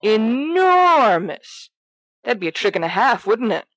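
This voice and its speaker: Woman playing a brash, excitable teenage schoolboy. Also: on the high side, a little slow, no room sound, voice pushed up, full character voice. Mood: excited. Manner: teasing, exclamatory, bossy. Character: brash, excitable, pleading fourteen-year-old schoolboy. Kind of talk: amateur audio fan fiction reading.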